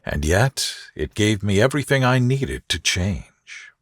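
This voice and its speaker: A man acts out a reserved gentleman deeply in love, for a soothing storybook. The words come slowly and gravely, in a low, tender voice with a husky edge. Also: sing-song, no echo, clean studio sound. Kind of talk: soothing bedtime storybook dialogue.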